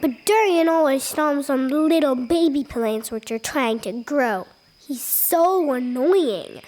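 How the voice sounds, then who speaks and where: A performer playing an earnest, easily exasperated little child with a whiny, expressive delivery in an animated storytime. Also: high voice, takes it slowly.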